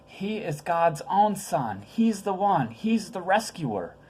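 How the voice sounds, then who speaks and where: A woman's voice: lowish voice, very animated, slow pace, darkish tone, breathy edge, heavily acted. A woman voicing a tall, glad male archangel in a storybook, reassuring and proclaiming his news.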